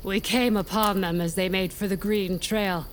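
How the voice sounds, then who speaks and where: A woman's voice: mid-range voice, fairly even intonation, moderate pace, a little hiss or hum, lightly acted. An actress playing a proud, brutal warrior who reports formally to her queen in a fantasy audio drama. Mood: grim, proud.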